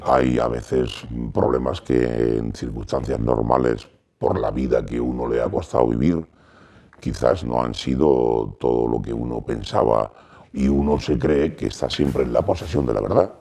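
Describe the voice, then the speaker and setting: A man in his fifties speaking in a halting, reflective way in an interview. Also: rumbling low, gravelly, warm tone. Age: 50s. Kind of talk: documentary interview.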